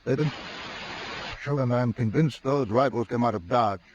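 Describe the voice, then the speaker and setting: An actor playing a stern, impatient army major who speaks in a brisk, clipped, suspicious way, in 1950s radio drama style, on a dull old broadcast recording. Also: male voice, medium pitch, background noise, close to an everyday voice.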